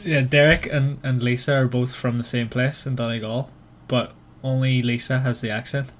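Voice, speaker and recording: A man from Northern Ireland with a Northern Irish accent hosts a casual book discussion, talking in a halting way and often repeating words. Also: mid-range voice, level tone, slight background noise. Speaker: podcast host.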